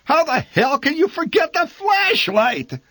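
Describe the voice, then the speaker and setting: A man voicing a blustering, short-tempered middle-aged father who shouts and curses at his family. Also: medium pitch, big pitch swings, very slow, no room sound, pitched above the performer's own voice, full character voice. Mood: shouting, angry. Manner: shouting, cursing, exasperated.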